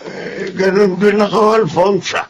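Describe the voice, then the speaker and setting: An actor playing a humble, sentimental old Filipino grandfather with a creaky voice in radio drama. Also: sits high, level tone, measured pace, soft background music. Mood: hesitant, regretful. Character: poor elderly eldest brother, humble, sentimental grandfather.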